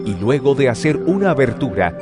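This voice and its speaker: A man's voice: mid-range voice, big pitch swings, fairly quick. A man narrating scripture as scripted audio drama in a solemn storytelling manner. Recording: music bed behind the voice.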